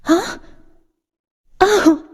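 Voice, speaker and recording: A woman's voice: extremely slow, faint music behind. A woman playing a teasing, chatty teenage schoolgirl in a video game. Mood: friendly, curious.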